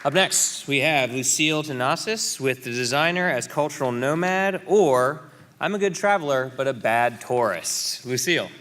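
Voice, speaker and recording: A man emcees a live event, announcing the next speaker at a middle pitch. He is heard through a long reverb, with a clear audience murmur and clicks behind him. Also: expressive, moderate pace, a crowd in the background. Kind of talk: introducing speakers. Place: auditorium stage. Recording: reverberant hall with audience noise.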